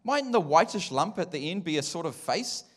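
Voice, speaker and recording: A man voicing a thoughtful, prim female talking elephant in a storybook reading, polite and measured. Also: a little high, big pitch swings. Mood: prim musing.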